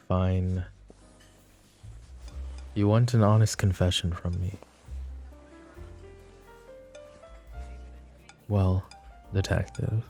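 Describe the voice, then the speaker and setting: Male actor as flirty mafia boss, possessive, smug, mellow dark tone, creaky edge, suave teasing, ASMR roleplay, faint music behind. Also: sits low. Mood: playful, coy. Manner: teasing, suave, unhurried with pauses. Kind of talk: romantic ASMR roleplay acting.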